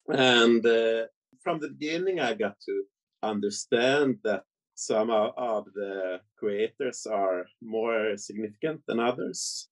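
A man from Sweden in his forties with a Swedish accent, a podcast guest talking in a hesitant, thoughtful way with many pauses. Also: on the low side, creaky, poor audio. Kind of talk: casual interview chat.

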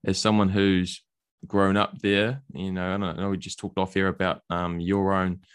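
A man's voice: low-pitched, normal speed, smooth, no echo, studio quality. A man hosting a casual interview show and mixing in Māori words. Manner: relaxed, uses Māori greetings and words. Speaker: podcast host.

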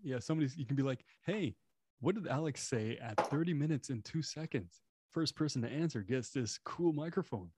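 A man's voice: medium pitch, lively intonation, fairly quick, noisy background. A man whose first language is French, hosting a podcast over a remote call. He jokes around and explains microphones at length into a dynamic mic. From Canada. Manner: joking, explains gear at length. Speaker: podcast host. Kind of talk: casual interview chat.

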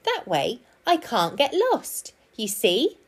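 A woman plays a kindly male park keeper from a storybook. He is patient and gently explanatory, with pitch that lifts and swings as he talks at an easy pace. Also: huge pitch swings, slow pace, breathy edge, exaggerated voice.

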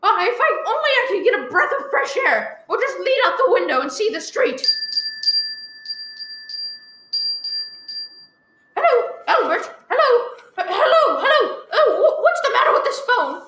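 A woman playing a nervous, demanding bedridden wife in an old-time radio thriller, agitated and stammering with shrill complaints. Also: very animated, a little fast, hardly any pauses, sharp tone, heavily acted. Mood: distraught. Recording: roomy, echoing live recording.

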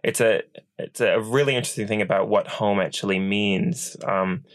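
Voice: deep voice, steady tone, moderate pace. A young podcast host asking loose, friendly questions in a casual chat, laughing often.

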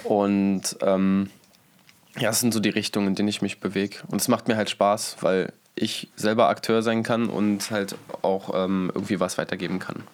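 A man in his thirties from Berlin, a musician and producer chatting casually in an interview, relaxed and slangy. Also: on the low side, moderate pace. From Berlin, Germany.

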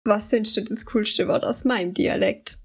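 A woman's voice: mid-range voice, normal speed, slightly rough. A young woman, chatting casually as co-host of a podcast. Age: young adult. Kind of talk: casual chat.

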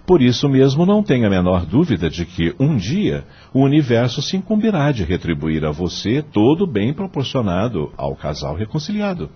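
An actor playing a wise, kindly male law professor in a radio drama, with a Brazilian accent and a husky voice, measured and moralizing. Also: mid-range voice, wildly swooping pitch, dull audio, heavily acted. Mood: kindly, reassuring. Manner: didactic, measured, moralizing, rhetorical questions.

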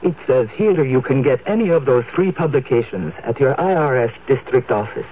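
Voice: medium pitch, lively intonation, moderate pace, natural voice. A performer playing a mysterious, theatrical fortune teller in a comic radio ad sketch. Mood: mystical, assured. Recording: muffled, hissy old radio recording.

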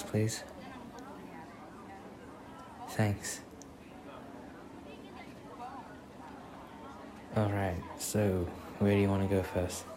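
A man acts out a sweet, shy young student boyfriend in an ASMR roleplay. His voice is low and stays fairly flat, with a slow, hesitant delivery. He comes across as soft-spoken and bashful on a first date. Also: background noise. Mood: casual, slightly nervous.

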